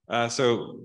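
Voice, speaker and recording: A man chairing a session and casually asking technical questions. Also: medium pitch. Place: large lecture theatre.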